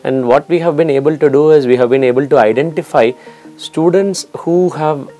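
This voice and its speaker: A man, recalling events earnestly in a documentary interview with filler pauses. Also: on the high side, dark tone, soft background music.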